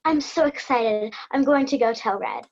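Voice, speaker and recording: A woman's voice: a little high, moderate pace, lo-fi sound. A woman voicing Sam, an eager, lonely little girl. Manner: eager, childlike. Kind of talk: amateur radio play acting.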